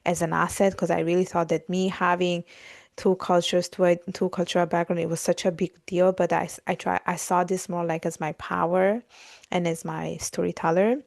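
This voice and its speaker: A woman's voice: lowish voice, level tone, normal speed, creaky, a little mellow, no room sound. A woman in her thirties from Italy, chatting as a podcast guest with lots of fillers like "like" and "you know.". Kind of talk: casual conversational interview.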